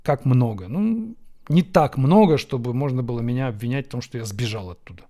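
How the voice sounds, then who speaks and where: A man from Ukraine, hosting a question-and-answer show, musing on viewers' questions with frequent pauses and fillers. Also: mid-range voice, animated, mellow. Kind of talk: Q&A monologue. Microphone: camera setup.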